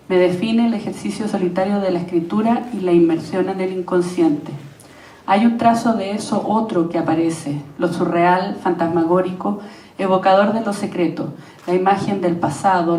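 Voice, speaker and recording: A woman's voice: lowish voice, mellow, far from the mic. Woman reading aloud in an echoey room. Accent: Chilean. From Chile. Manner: measured, reflective reading with lists. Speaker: playwright and actress. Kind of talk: reading a prepared text at a panel.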